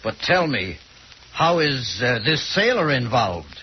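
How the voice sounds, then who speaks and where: An actor playing a skeptical, flustered police prefect in an old-time radio mystery, hesitant and blustering. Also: male voice, medium pitch, big pitch swings, a little slow, slightly rough, exaggerated voice. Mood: curious. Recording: muffled, noisy old radio recording.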